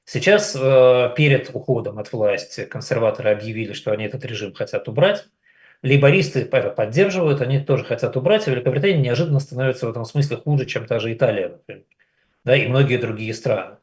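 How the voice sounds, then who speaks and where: A man from Russia speaking as an interview guest, giving conversational analysis in a measured, wry way. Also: medium pitch, a little mellow.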